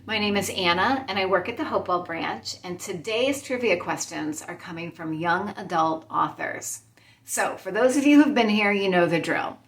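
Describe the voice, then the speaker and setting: A woman's voice: medium pitch, expressive, normal speed. A woman library staff member introducing a trivia video in a friendly, explanatory way.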